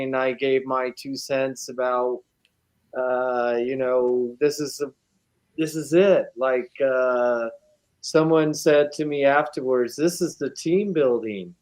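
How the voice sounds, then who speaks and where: A man's voice: medium pitch, darkish tone, smooth. A man guest chatting casually, telling stories in an easygoing, rambling way with many fillers. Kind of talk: casual chat.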